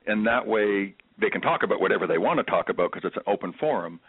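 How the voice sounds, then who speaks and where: Guy hosting a call-in chat, low dry voice, tinny phone line, lots of uhs. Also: expressive, fast talker, breathy edge, dry recording. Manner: chatty, uses many fillers and restarts. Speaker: host of a call-in webinar. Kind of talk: conversational call-in discussion.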